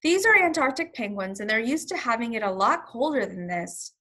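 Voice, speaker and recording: Woman playing an earnest storybook man, bright and high. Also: animated, fairly quick, full character voice. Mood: apologetic, firm. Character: earnest, polite middle-aged man, proud penguin trainer. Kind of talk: children's storybook read-aloud.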